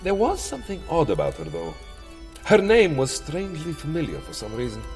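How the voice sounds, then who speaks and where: Theatrical vampire count, wildly swooping delivery. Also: male voice, on the high side, wildly swooping pitch, brisk, a little mellow, faint music behind. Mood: puzzled, musing. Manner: theatrical musing that slips into petty grumbling. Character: world-weary male vampire count, master of a castle resort. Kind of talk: comedy audio drama acting.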